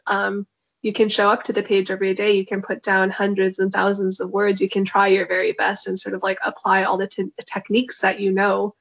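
A woman's voice: mid-range voice, a bit bright. Female author, Mandarin her first language; mid voice, considered and reflective answers; muffled recording. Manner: thoughtful, measured, reflective answers. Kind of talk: interview answers.

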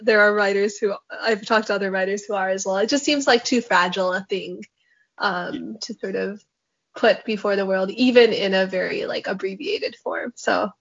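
A woman novelist, a native Mandarin speaker, answering interview questions in a reflective, measured way with small hesitations. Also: medium pitch, vocal fry. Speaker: novelist guest. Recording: dull, lo-fi sound.

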